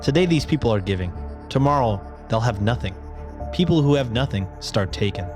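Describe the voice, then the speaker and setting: A man's voice: medium pitch, expressive, fairly quick, close to an everyday voice. A man playing an earnest, weary and principled superhero who reproaches, in a dramatic story reading. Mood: grave reproach. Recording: background music under the voice.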